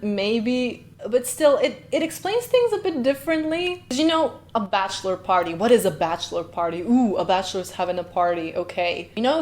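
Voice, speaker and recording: A woman chats through a reaction video as host, playful and a little teasing. Her voice sits on the higher side, and she wanders happily into side tangents. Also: a little mellow. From Slovenia. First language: Slovenian. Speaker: host, linguist. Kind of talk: casual reaction commentary.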